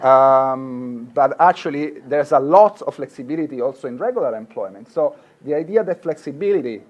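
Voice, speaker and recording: A man's voice: mid-range voice, animated, moderate pace, muffled recording. A middle-aged man with a marked Italian accent, a law professor speaking earnestly at a conference, with frequent fillers and restarts. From Italy. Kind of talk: conference talk.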